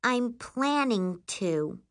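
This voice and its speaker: A woman voicing an indignant, bossy twelve-year-old girl in a shrill, snapping voice for an audiobook. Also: expressive, slow pace, sharp tone, exaggerated voice. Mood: defiant.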